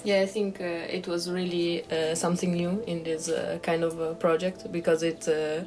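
A young woman with a rather low, very flat voice. She speaks hesitantly, dropping in many "uh"s. Faint music plays behind her. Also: moderate pace. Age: young adult. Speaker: master's student. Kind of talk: casual self-introduction.

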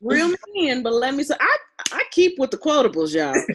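A woman's voice: sits high, very animated, normal speed, a little hiss or hum. A woman co-hosting a podcast, talking in a loose, playful way and teasing her co-host. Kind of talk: casual conversation.

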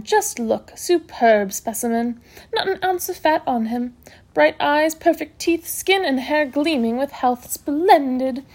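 A woman voicing a brisk, bossy, self-assured hospital matron in a storybook, scolding cheerfully in emphatic exclamations. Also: high-pitched, a little mellow.

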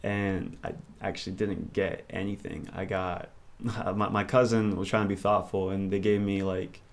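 A man's voice: low voice, little pitch movement, moderate pace, creaky. A man, talking as an interview guest in a rambling, reflective way. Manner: rambling and reflective, thinks aloud. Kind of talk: casual interview conversation.

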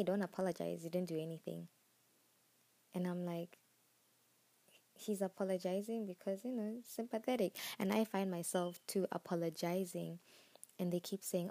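A woman's voice: on the low side, dark tone. A woman chatting informally as a solo podcast host, telling things in a casual, conversational way. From Botswana, southern Africa. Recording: roomy echo, faint music.

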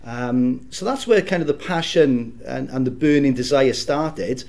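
A man's voice: mid-range voice, animated, measured pace. Welsh man telling his story. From South Wales. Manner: warm, reflective storytelling with easy laughs. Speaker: podcast guest. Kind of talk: casual interview chat.

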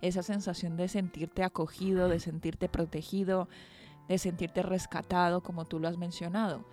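Woman radio host doing an interview, mellow relaxed voice, a bit low, faint music. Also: moderate pace. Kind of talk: interview with scripted introduction.

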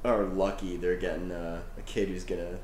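A man's voice: deep voice, flat delivery, normal speed, a little mellow. A man in his twenties co-hosting a podcast, chatting casually and bluntly with friends, profane and combative. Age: 20s. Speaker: podcast co-host. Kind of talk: casual chat among friends. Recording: roomy, echoing sound.

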